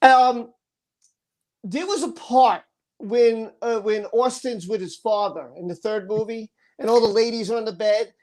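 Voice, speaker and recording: A woman's voice: on the high side, measured pace, a little mellow. A woman hosting a live stream over a video call, enthusiastic, laughing often and thanking her viewers. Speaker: host. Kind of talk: casual chat on a live stream.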